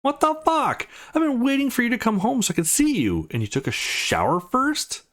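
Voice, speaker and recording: A man voicing a clingy, emotional young woman with a quick temper in a visual novel read-through. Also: medium pitch, wildly swooping pitch, a bit bright. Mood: indignant. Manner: emotional, pleading, easily indignant.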